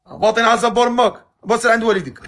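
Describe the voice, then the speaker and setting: A man with a Moroccan accent hosting a matchmaking live stream, teasing guests with quick-fire questions. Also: very high voice, fairly even intonation, fairly bright tone.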